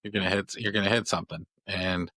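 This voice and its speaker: A man hosts a legal podcast, talking in a low voice with a bright, sharp edge. He rambles with restarts and fillers as he works through his ideas. The sound is dry and close. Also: steady tone, fast, no room sound, low-quality recording. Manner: thoughtful, rambling with many restarts and "you know". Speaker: podcast host, family law attorney. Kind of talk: conversational interview.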